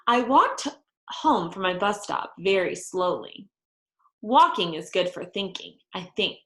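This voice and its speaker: A woman reading a children's storybook aloud with deliberate, dramatized, expressive phrasing for young listeners. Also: medium pitch. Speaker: storybook reader. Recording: echoey room.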